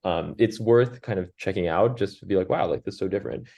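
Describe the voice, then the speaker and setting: Brazilian-born guy, portuguese first language, deep mellow voice, rambles with lots of "like". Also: quick pace, gravelly. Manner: rambling, heavy use of "like", frequent restarts. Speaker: crossword constructor, guest. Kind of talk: casual interview answer.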